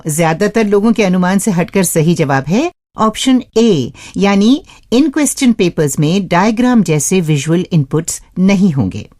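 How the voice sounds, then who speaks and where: Lively woman hosting radio. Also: mid-range voice, no room sound, clean studio sound. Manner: addresses listeners as friends, explains step by step. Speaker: radio show host. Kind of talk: scripted radio presenting.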